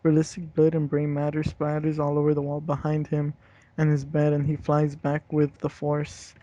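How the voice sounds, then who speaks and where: Young man with a muffled, flat delivery. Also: a little high, normal speed, a little hiss or hum. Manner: profane, slangy. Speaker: story reader. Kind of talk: reading a horror story aloud, casual chat. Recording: dull lo-fi home recording, roomy.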